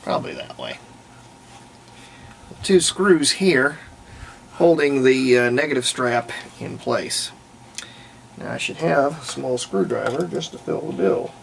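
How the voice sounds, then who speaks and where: Man, gravelly fry, casual workbench commentary, unscripted thinking aloud, noticeable background noise. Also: medium pitch, takes it slowly, pauses often. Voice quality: gravelly, creaky. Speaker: hobbyist restorer presenting a repair video.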